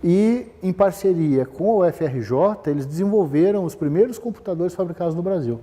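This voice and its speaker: A middle-aged man from Brazil, telling his career story in a relaxed talk, often hesitating and restarting. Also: high voice, fairly quick, in a large hall. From southern Brazil. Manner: rambling, many fillers and restarts. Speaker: business manager giving a talk. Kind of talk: conversational talk, personal history.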